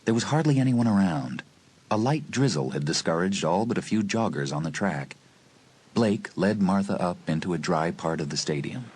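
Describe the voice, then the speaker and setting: A man's voice: low voice, animated, moderate pace, a little mellow, breathy edge. A man narrating a scripted horror story as part of an audiobook dramatisation.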